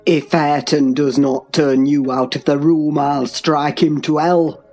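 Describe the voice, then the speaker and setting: A woman's voice: low voice, takes it slowly, a little mellow, breathy edge, faint music behind, pitched below the performer's own voice, exaggerated voice. A woman voicing a furious, domineering older man with a harsh, commanding manner in an audiobook. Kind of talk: dramatized audiobook reading.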